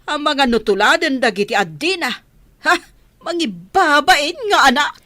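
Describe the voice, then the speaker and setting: A woman's voice: high voice, lively intonation, moderate pace, bright, forceful tone, dry recording. An actress playing a stern, unforgiving mother in a radio drama, scolding and bitter.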